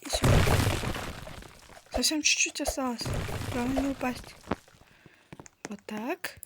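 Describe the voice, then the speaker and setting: A hoarse woman chatting casually as she plays a video game for her channel, exclaiming when things go wrong. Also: sits high, takes it slowly, very noisy. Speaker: gaming channel host.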